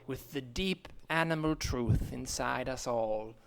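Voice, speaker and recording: Man playing a slow, serene female wellness guru. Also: medium pitch, vocal fry, clean tone. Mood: serenely assured. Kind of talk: improvised comedy acting.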